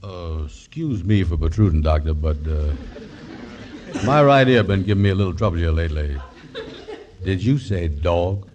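An actor playing a slow-witted, folksy schemer in 1940s radio dialect comedy, hesitant and stammering, mangling his words. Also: low-pitched, lively intonation, darkish tone, dull audio. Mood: bumbling, cagey. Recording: noisy old 1940s radio recording.

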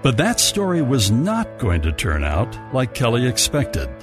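Male radio drama narrator, medium register, animated delivery, prominent music bed. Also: medium pitch, measured pace. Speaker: narrator and announcer. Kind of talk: scripted radio story narration.

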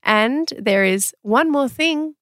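Animated, higher-voiced woman chatting casually. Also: slow, no room sound, clean studio sound. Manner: chatty, playful, teasing. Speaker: co-host. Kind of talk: casual podcast chat.

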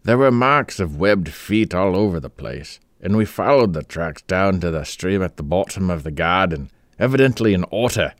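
A man reading a story aloud, voicing an irritable country gentleman in a blustering, indignant manner. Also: low voice, lively intonation, normal speed, few pauses, dry recording.

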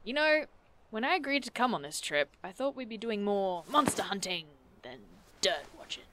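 A woman's voice: mid-range voice, animated, moderate pace, noisy background, close to an everyday voice. A woman playing a sardonic, teasing monster hunter in an audio drama, casual and sarcastic in delivery. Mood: wry, unimpressed. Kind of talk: audio drama acting, naturalistic dialogue.

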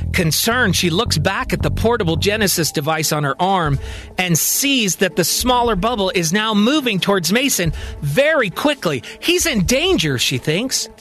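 A man narrating a scripted, dramatic science-fiction story as a podcast storyteller. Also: sits high.

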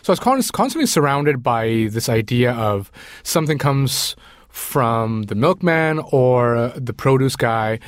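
A man's voice: medium pitch, lively intonation, unhurried, no echo, clean studio sound. A man in his forties from Sri Lanka, a food writer, chatting as an interview guest, with frequent ums and uhs. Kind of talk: casual interview conversation.

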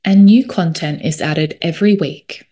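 A woman reading a scripted ad in a calm, soothing manner. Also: on the low side, a little slow. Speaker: ad reader. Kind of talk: scripted ad read.

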